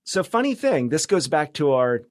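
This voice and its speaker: A man's voice: fairly high pitch, big pitch swings, moderate pace, dry recording, clean studio sound. A man talking casually as a podcast guest, phrasing things tentatively, trailing off and rephrasing as he goes. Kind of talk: casual conversational interview.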